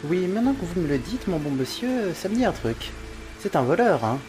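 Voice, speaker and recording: An actor playing a sly, mercenary street informant in an audio drama, gossipy and falsely obliging. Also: male voice, high voice, very animated, a little fast, darkish tone, faint music behind.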